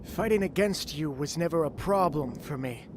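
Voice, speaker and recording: A man's voice: on the high side, moderate pace, noisy background, close to an everyday voice. A man playing an earnest, principled samurai lord in a fantasy audio drama. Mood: resolute. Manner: earnest, pleading, steady.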